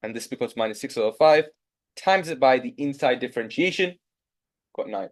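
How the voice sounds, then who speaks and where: A man's voice: mid-range voice, expressive, a little fast. Yorkshire guy tutoring maths online live, young, keeps cheering students on, regular mid voice. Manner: encouraging, coaxing students.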